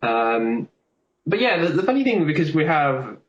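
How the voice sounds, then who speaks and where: A man's voice: fairly high pitch, sing-song, normal speed, dull audio. A man in casual, rambling, chatty discussion, as co-host of a film podcast. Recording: roomy, echoey sound with faint noise.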